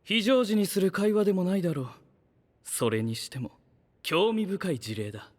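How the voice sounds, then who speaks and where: An actor playing an aloof, analytical young knight with a detached, haughty manner in a Japanese anime voice drama. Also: male voice, sits high, animated, normal speed, darkish tone. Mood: cool, curious. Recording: long hall reverb.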